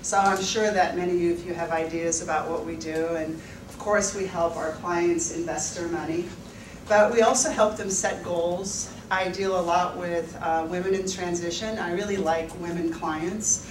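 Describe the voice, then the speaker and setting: A woman's voice: on the low side, fairly even intonation, moderate pace. A woman in her fifties from the Netherlands, a financial advisor telling her personal story to an audience at a university event, candid, laughing often and using many fillers. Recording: echoey room, mic at a distance, background noise.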